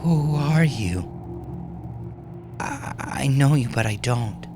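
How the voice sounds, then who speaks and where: A man voicing a dazed, frightened captive woman with a childlike, halting way of speaking, in an audio horror story. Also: mid-range voice, over music, pitched above the performer's own voice. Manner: halting, stammering.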